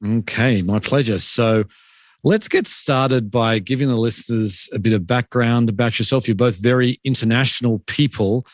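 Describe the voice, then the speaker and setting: A man's voice: medium pitch, moderate pace, no echo, clean studio sound. A man hosting a podcast, reading an upbeat introduction and then asking interview questions. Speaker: podcast host.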